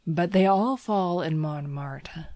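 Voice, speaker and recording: A woman reading as a breezy young man, low-pitched. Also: slow pace, darkish tone, dry recording, clean studio sound. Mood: reassuring. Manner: breezy, reassuring. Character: cheerful young male artist, feigning unconcern. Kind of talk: audiobook character dialogue.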